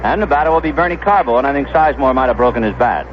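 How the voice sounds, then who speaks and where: An American man calling baseball play-by-play on the radio, conversational and descriptive, filling in stories between pitches. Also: lively intonation, racing. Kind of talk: play-by-play commentary. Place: ballpark broadcast booth. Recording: dull, noisy vintage 1970s radio broadcast.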